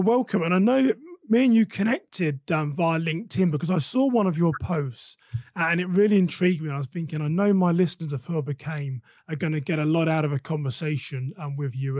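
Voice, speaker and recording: Higher-voiced male podcast host, dry sound. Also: a little mellow, dry recording. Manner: chatty, open-ended questions. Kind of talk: conversational podcast interviewing.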